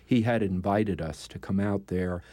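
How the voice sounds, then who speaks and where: An older man, a poet, talking in a measured, thoughtful way as an interview guest, pausing often with "uh". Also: low voice, warm tone, dry recording. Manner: thoughtful, measured, with frequent "uh" pauses. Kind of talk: conversational interview.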